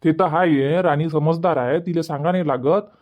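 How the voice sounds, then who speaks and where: A man's voice: fairly high pitch, steady tone, moderate pace, a little mellow, close to an everyday voice. A man voicing a worried, reluctant village father in a cartoon comedy, questioning and protesting with a Vidarbha Marathi accent in a dull recording. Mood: worried objecting.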